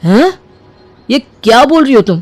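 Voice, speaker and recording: Woman playing a worried, animated husband. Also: high voice, a little slow. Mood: troubled. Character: worried, weary adult son and husband.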